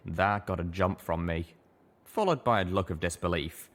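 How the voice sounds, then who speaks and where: A man's voice: deep voice, normal speed, a bit bright. A man reading a scripted story as an audiobook narrator. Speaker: audiobook reader.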